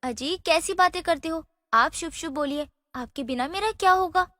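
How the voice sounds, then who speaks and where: A woman's voice: very high-pitched, normal speed, sharp tone, dry recording, clean studio sound, in a large hall. A woman voicing a coy, devoted young bride in a family story. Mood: coy affection.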